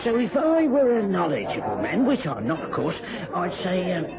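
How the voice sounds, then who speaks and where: A man's voice: high voice, wildly swooping pitch, normal speed. An actor playing a shifty underworld informant in a radio drama, hesitant and sly as he rambles. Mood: sly, evasive. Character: shifty, crafty underworld informant with rheumy eyes. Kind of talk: mid-century radio drama acting. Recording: muffled old radio, loud pub crowd.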